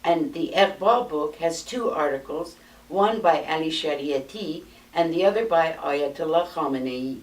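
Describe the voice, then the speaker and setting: An American woman in her eighties reads her own life story aloud from notes in a measured, steady way, seated in a small indoor room. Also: low voice. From United States. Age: 80s. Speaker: memoir narrator. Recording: roomy sound from a distant mic.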